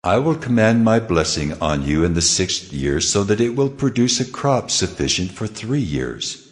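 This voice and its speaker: A man's voice: on the low side, very animated, normal speed. A man playing God as a solemn, commanding lawgiver in a dramatized scripture reading. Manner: solemn, authoritative, declarative. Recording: long cavernous reverb.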